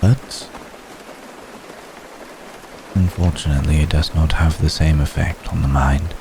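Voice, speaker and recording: Very deep, slow ancient vampire played by a man. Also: noisy background. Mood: gentle concern. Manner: hesitant, measured, formal phrasing with long pauses. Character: ancient gentle male vampire, protective, courtly, tender. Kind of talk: slow intimate ASMR roleplay monologue. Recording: close recording with steady rain ambience.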